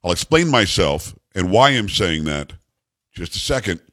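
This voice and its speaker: A man with a deep bass voice hosting a talk radio show, loud and upbeat, bantering with his studio crew. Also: very animated, moderate pace, no room sound. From New York. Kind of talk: energetic talk radio monologue.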